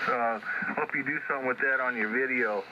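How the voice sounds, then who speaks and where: A man's voice: mid-range voice, quick pace. A man, an amateur radio operator, chatting casually over a shortwave radio link as he checks in. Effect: shortwave ham radio transmission. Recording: dull, very noisy ham radio signal.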